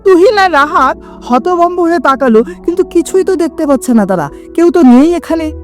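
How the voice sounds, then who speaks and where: A woman's voice: very high voice, expressive, normal speed, faint music behind. A woman narrating a scripted horror story in a dramatic storytelling manner, with sudden drops in her voice.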